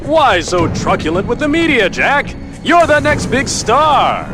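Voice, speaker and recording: A flamboyant, callous racing anchor in a video game. His high voice swings wildly through showy announcer patter, smug and ratings-hungry, over clear background music. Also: sing-song, unhurried, heavily acted. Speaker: race show host.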